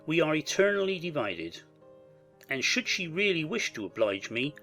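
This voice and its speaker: A man playing a proud, wounded gentleman who speaks formally and emphatically in a dramatized audiobook. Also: fairly high pitch, sing-song, normal speed, faint music behind. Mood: hurt, unyielding.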